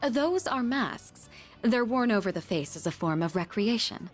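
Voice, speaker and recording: A woman playing a refined, patient young woman in a video game, composed, articulate and gently formal. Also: fairly high pitch, lively intonation, normal speed, faint music behind, natural voice. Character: poised, refined young woman, a patient elder-sister guide.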